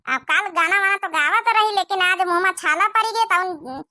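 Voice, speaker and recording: A woman's voice: very high-pitched, moderate pace, pushed and bright, dry recording, exaggerated voice. A woman voicing a boastful, chatty middle-aged village mother with an Awadhi accent in a rural comedy cartoon. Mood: boastful, coaxing. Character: talkative middle-aged village mother arranging her child's marriage, boastful.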